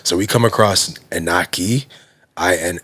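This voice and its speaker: A man in his thirties with a very low, deep voice tells his story in a loose, casual way, leaning on fillers as he goes. He talks as a podcast guest. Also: moderate pace. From Nigerian heritage. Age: 30s. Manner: relaxed, heavy use of fillers like "you know" and "like". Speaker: guest, fitness brand founder.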